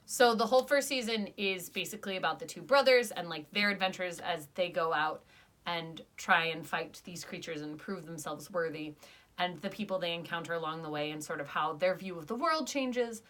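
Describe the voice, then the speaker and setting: Young female podcast co-host, lowish voice, casual and rambly. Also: normal speed. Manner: chatty, lots of "like" fillers, animated when ranting. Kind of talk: casual podcast review chat.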